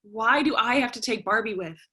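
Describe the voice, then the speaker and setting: A woman acts out an eighteen-year-old American daughter in a stage drama. Her voice is fairly high and sharp, with a forward edge. She is wounded, defiant and sarcastic, speaking with raw feeling. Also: fairly quick. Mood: defiant, accusing. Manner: emotional, argumentative. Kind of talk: staged play reading.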